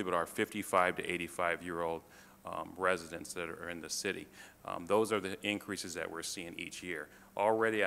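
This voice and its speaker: A man presenting to a city council as a fire department official, halting, with many fillers and restarts. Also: low-pitched, gravelly, sharp tone. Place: council chamber. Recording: reverberant chamber, faint room noise.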